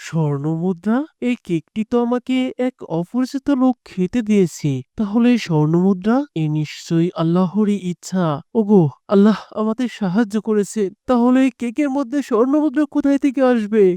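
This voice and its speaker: A woman voicing a poor, gentle, devout blind father in an earnest, humble manner for an animated moral story. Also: medium pitch, a little fast, no echo, close to an everyday voice. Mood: amazed, devout.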